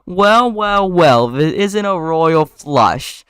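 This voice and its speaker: A man's voice: sits high, expressive, a bit bright, clear, resonant tone, dry recording, pitched above the performer's own voice. A man playing a jealous, irate boyfriend with a strained, sarcastic, stammering delivery. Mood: gloating menace. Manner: stammering, seething, drawn-out sarcasm.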